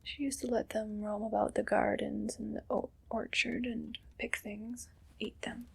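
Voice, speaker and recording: A woman playing a haughty, condescending evil queen in a whispered ASMR roleplay, breathy and deliberate. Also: fairly high pitch, level tone, full character voice. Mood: disdainful, resentful. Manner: slow, deliberate, imperious and condescending.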